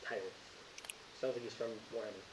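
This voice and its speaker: A man acting as game master, narrating casually and bantering with the players in a teasing, excitable way. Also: medium pitch, quick pace, noisy background.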